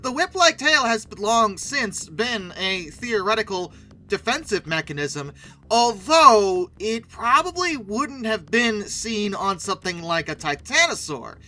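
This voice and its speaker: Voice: medium pitch, big pitch swings, unhurried, pushed and bright. A video host giving casual, sarcastic commentary with emphatic delivery.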